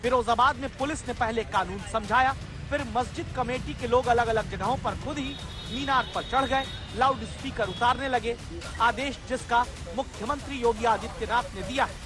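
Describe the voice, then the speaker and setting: Woman narrating news over loud music. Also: a little high, moderate pace. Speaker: news presenter. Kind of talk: dramatic scripted news narration. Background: loud music bed.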